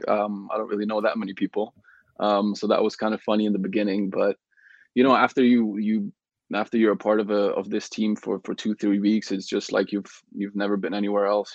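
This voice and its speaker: Man, young, from Belgium, gravelly, flat delivery, halting answers, dull dry remote audio. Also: on the low side, no echo. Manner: halting, many restarts and fillers. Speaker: guest soccer player. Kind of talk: casual interview answers.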